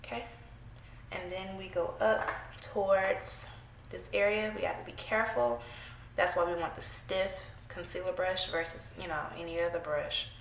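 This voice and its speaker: Congested woman with a gravelly voice. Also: lowish voice, flat delivery, normal speed, echoey room, a little hiss or hum, rough recording. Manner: chatty, playful, talks to viewers while working. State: congested from a cold. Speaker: beauty vlogger. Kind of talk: casual makeup tutorial chat. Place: small room at home, indoors.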